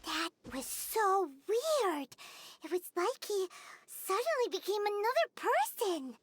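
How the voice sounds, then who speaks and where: Small game mascot: extremely high, childlike, drawn-out delivery with lively swings. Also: female voice, slight background noise, exaggerated voice. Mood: startled wonder. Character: tiny high-pitched companion creature, childlike and easily startled. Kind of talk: cartoonish video game acting.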